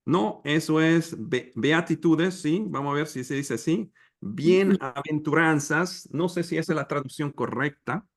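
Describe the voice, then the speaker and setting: A man whose first language is English, leading a Bible study in slow, halting Spanish with pauses and restarts over a video call. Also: a little high. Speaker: preacher.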